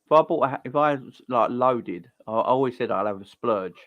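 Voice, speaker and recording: A young man co-hosting a football watch-along, chatty and quick to laugh while telling stories. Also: mid-range voice, no echo, phone-quality sound. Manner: chatty storyteller, laughs easily. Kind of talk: casual banter.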